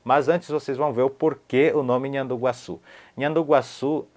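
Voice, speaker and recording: A man from Paraguay gives a relaxed online lesson from home. He says each new word slowly, repeats it several times for the learner, then explains it at an easy pace. His voice sits at an ordinary middle pitch. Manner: repeats words slowly to show pronunciation. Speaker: language teacher.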